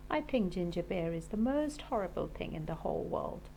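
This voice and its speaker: A woman's voice: fairly low pitch, expressive, moderate pace, warm tone, a little hiss or hum, low-quality recording. A woman voicing a small, teasing, grumbling little sister in a storybook, with exaggerated emphasis. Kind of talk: storybook character voice.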